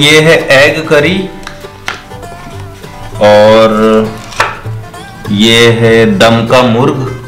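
A young man talks slowly and casually to the camera while unpacking food, counting pieces out loud. His voice rings in a bare, roomy space. Soft music plays faintly underneath. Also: on the low side. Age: young adult. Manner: chatty, counts items aloud while unboxing. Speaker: vlogger. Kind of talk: casual food review vlog. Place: bare indoor room, seated on floor. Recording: echoey room with faint music.

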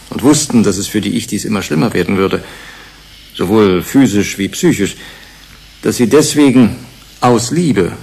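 An actor playing a persistent commission investigator in a German radio drama, questioning with deliberate emphasis. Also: male voice, lowish voice, creaky, a little mellow, slightly rough, heavily acted. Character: male UN commission investigator, probing, measured, persistent. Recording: faint background noise.

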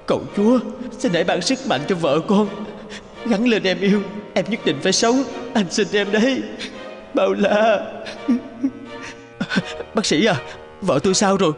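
A devoted, anxious young father from a cartoon drama. His voice sits high for a man and is earnest and full of feeling. Music plays clearly behind him. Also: measured pace. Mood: worried, pleading. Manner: earnest, emotive. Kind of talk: dubbed cartoon drama acting.

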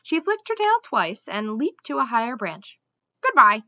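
A young woman reads a children's story aloud in a teacherly, expressive way. Her pitch is animated and her tone is bright. The sound is dry, recorded in a small room at home. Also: normal speed, no room sound, highs cut off. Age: young adult.

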